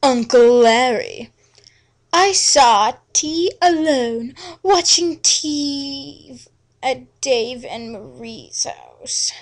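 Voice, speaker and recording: A woman's voice: sits high, lively intonation, extremely slow, heavily acted. Woman as a melodramatic, sullen teenage girl. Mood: swooning, brooding. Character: moody, sullen, self-absorbed teenage girl narrating her romance. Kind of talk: dramatic reading of fan fiction.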